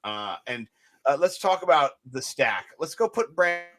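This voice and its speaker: Man, raspy, animated, swooping pitch, casual sports co-host, restarts a lot. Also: unhurried, faint music behind. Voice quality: raspy today. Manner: animated, jokey, rambling with restarts. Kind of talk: casual fantasy sports chat.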